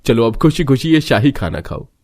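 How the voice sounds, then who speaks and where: A man voicing a calm, forgiving elder brother in a cartoon story, measured and reassuring. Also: medium pitch. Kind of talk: Hindi cartoon story dialogue.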